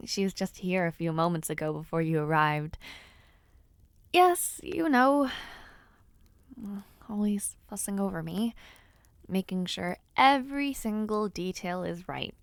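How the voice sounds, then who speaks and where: A woman playing a giddy, bashful young noblewoman in an intimate romance audio roleplay, affectionate and teasing, with soft hesitations. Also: on the low side, very animated, unhurried, long pauses, clear, resonant tone, no echo. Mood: chatty. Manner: soft hesitations, gentle teasing, shy giggles. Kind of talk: intimate scripted audio roleplay, speaking to the listener.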